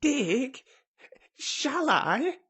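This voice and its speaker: A man's voice: on the high side, sing-song, slow pace, gravelly, slightly rough, pitched above the performer's own voice, full character voice. A man voicing a frail young boy with an eager, commanding manner, in a storybook reading.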